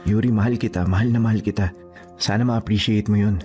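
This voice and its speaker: A man's voice: on the low side, fairly even intonation, quick pace, warm tone, natural voice. A man playing an earnest, persistent young suitor in a radio drama, speaking in a sincere, pleading way. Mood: pleading, tender. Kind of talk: Tagalog radio drama acting. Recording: music behind the voice. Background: music.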